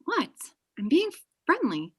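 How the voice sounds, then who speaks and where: A woman playing a chatty, high-energy friend in a comedy table read on a video call, with an animated, gushing manner. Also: takes it slowly, heavily acted. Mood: defensive, giddy. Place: small indoor room on a video call. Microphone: computer mic on video call.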